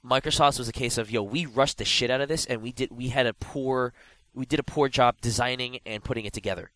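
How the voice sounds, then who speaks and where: A man's voice: mid-range voice, brisk, no room sound, rough recording. A man with a stuffy, blocked nose holds forth on a casual gaming podcast, circling back and restarting his sentences as he goes. His voice sits in an ordinary middle range. The sound is close and dry, with no room around it. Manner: animated, rambling with frequent restarts and fillers. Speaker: podcast co-host.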